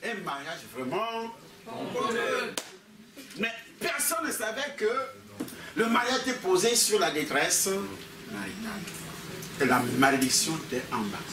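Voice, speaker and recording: A man's voice: sits high, very animated, measured pace, long pauses. A man with a Cameroonian French accent preaching with personal stories, repeating his phrases and prompting the congregation. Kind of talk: animated sermon with personal anecdotes. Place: church meeting hall with a congregation. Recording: echoey hall, distant mic, congregation noise.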